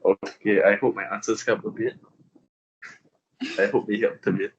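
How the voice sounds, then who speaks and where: A man's voice: lowish voice, fairly even intonation, moderate pace, muffled recording, a little hiss or hum. A young Malaysian man with a Malaysian accent, answering interview questions casually and hesitantly, with many fillers and restarts.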